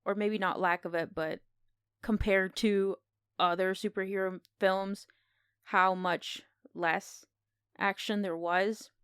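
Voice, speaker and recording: Clear-voiced woman chatting on a podcast. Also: mid-range voice, steady tone, slow pace, a bit bright, no room sound, studio quality. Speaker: podcast co-host. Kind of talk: casual film discussion.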